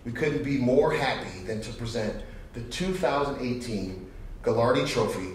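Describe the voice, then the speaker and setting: Middle-aged male award presenter, folksy and conversational, mid-pitched, heard from a distance in a heavily reverberant hall. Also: moderate pace. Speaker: guest presenter, company manager. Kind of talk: award presentation speech to an audience. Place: stage in an auditorium. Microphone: podium microphone. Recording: very echoey hall, distant, faint noise.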